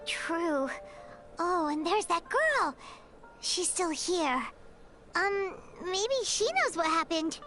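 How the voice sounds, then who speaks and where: A woman voicing a small, chirpy, curious fairy companion with a squeaky, childlike voice in a video game. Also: very animated, slow, a bit bright, faint music behind, exaggerated voice. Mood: puzzled, concerned. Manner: refers to self in third person, inquisitive.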